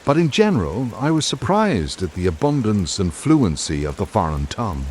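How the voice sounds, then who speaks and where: A man hosting and narrating in a scripted old-time radio drama style, with dramatic, measured delivery. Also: lowish voice, big pitch swings, a little mellow, a little hiss or hum.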